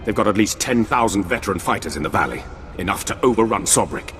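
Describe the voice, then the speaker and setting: An actor playing a dutiful Imperial special forces captain in a video game, reporting in a clipped, deferential military manner. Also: male voice, on the low side, lively intonation, fast talker, fairly bright tone, slight background noise. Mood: urgent, formal. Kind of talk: dramatic video game acting. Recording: long reverb under music.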